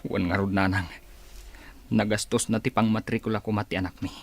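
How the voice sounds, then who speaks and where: A man's voice: lowish voice, steady tone, brisk, soft background music. A man playing a troubled, defensive married son with an Ilocano accent in a radio drama. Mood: weary, defensive. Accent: Filipino, Ilocano. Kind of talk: Philippine radio drama acting.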